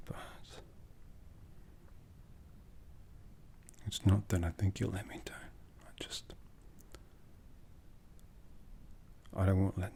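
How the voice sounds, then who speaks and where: A man voicing a brooding, tender and possessive vampire lord for an ASMR roleplay, in a soft, breathy half-whisper with slow, intimate, solemn delivery. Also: low-pitched. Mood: gentle, apologetic.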